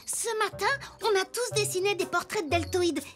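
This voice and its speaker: A very high, childlike cartoon voice for a little wooden toy boy detective. He is earnest and inquisitive, asking clear questions over background music. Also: moderate pace. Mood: serious, investigating.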